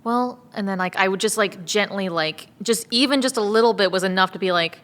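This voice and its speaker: A woman voice actor chatting casually about her craft in a giggly, animated way. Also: medium pitch, brisk. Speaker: voice actor with theater background. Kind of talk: casual chat about acting process.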